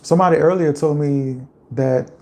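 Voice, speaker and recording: A man from Louisiana with a Louisiana accent, chatting casually on a livestream and reading out viewer comments in a relaxed, rambling way. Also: a little high, normal speed. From Louisiana, USA. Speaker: livestream host.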